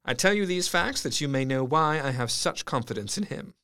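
Fairly high, earnest young doc reading his letters calmly. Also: brisk, clear, resonant tone. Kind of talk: radio drama, reading letters and diary entries.

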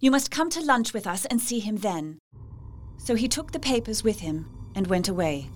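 A woman's voice: mid-range voice, lively intonation, normal speed, a little hiss or hum, lightly acted. An actress playing a polite, devoted young Victorian wife in a gothic audio drama, formal and heartfelt, as if telling her diary. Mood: grateful, earnest. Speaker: actress narrating and playing dialogue.

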